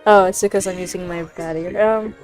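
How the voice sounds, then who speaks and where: A woman game streamer chatting casually in a halting, giggly, playfully dramatic way. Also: lowish voice, fairly even intonation, a little mellow, faint music behind. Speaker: game livestreamer. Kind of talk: casual live gaming chat.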